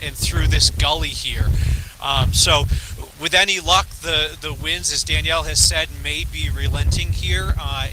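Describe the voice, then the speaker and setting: A man working as a field reporter, giving a somber, halting, descriptive live report outdoors in the wind. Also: on the high side. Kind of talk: live breaking news reporting. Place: outdoors at a trailhead in high wind. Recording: loud wind and outdoor noise behind.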